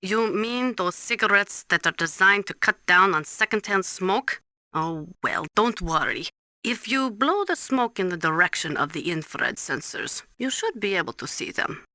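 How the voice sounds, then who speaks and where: A woman playing a tough, earnest Ukrainian nuclear weapons analyst in a video game, speaking deliberately and instructively with a Ukrainian accent. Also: mid-range voice, animated, sharp tone, no echo. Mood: concerned, advising. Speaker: military analyst and nuclear specialist. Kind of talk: scripted game voice acting, briefing.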